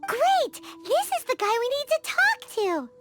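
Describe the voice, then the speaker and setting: A woman voicing a tiny, excitable fairy companion with a shrill, bubbly voice who blurts out questions and quips, in game cutscene voice acting. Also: measured pace, exaggerated voice. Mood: perky. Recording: game audio with music behind.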